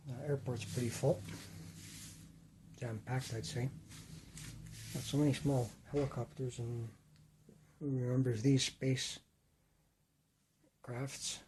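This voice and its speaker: A man with a rough voice, casually rambling and correcting himself while he shows his model city. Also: medium pitch, darkish tone, slight background noise, rough recording. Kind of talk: casual talk-through.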